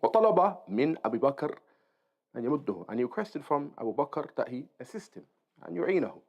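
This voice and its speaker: Fast-talking male religious teacher, dull sound. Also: a little high, big pitch swings, a little mellow. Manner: explanatory, checks in with listeners using short tags. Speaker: religious teacher reading and explaining a text. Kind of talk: informal Islamic lesson, reading aloud and explaining.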